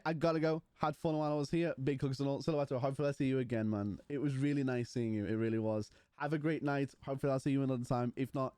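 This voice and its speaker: A man's voice: medium pitch, darkish tone, smooth, no echo. A man, casually chatting and bantering with his livestream chat. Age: 20s. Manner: banters with chat, mocking and playful. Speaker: streamer.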